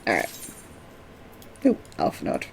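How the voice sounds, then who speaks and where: A woman's voice: unhurried, slight background noise. A woman game streamer giving casual play commentary and introducing the dialogue she reads aloud.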